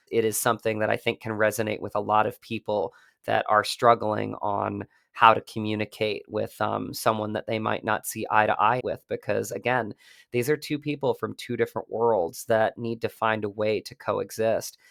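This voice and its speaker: A man's voice: on the low side, little pitch movement, normal speed, vocal fry, a bit bright, dry recording, studio quality. An American man, an actor, speaking earnestly and reflectively as an interview guest. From United States.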